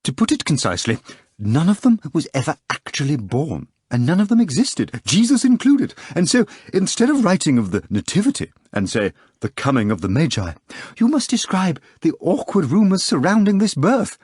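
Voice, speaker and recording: A man's voice: huge pitch swings, normal speed, darkish tone, slightly rough, dry recording, clean studio sound, heavily acted. A man voicing a learned middle-aged male editor who lectures insistently in a raised voice. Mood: lecturing. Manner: lecturing, high-pitched and insistent.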